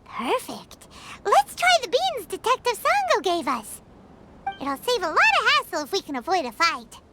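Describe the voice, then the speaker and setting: A woman voicing a tiny, excitable floating fairy companion in a video game, chirpy and cheeky, speaking of herself by name. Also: extremely high pitch, normal speed, heavy background noise, heavily acted. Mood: eager.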